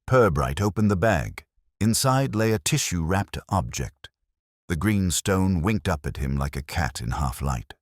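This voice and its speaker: A man's voice: low voice, animated, measured pace, no echo, studio quality. A man narrating a scripted mystery story as an audiobook-style storyteller.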